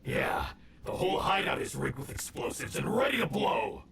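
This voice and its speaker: A man's voice: sits high, huge pitch swings, normal speed, gravelly, roomy sound, far from the mic, a little hiss or hum, full character voice. An actor playing a scheming male ronin gang boss who gloats and sneers. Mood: gloating. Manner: gloating, then panicked shouting.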